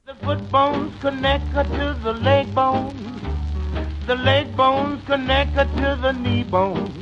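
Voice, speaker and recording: High, slow, teasing character. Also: female voice, steady tone. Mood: playful. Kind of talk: radio drama acting. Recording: slightly dull, loud swing music behind.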